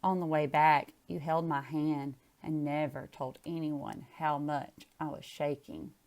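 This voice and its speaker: Slightly low-voiced woman reading aloud. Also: flat delivery, measured pace, a little mellow. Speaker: storybook reader. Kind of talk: casual chat and picture book read-aloud.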